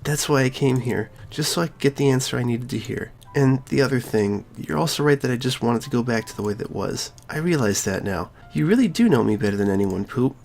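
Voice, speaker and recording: A woman voicing a sweet, self-loathing teenage girl from a game, halting and emotionally fragile. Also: rumbling low, expressive, creaky, a little mellow, soft background music, exaggerated voice. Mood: resigned, hurt.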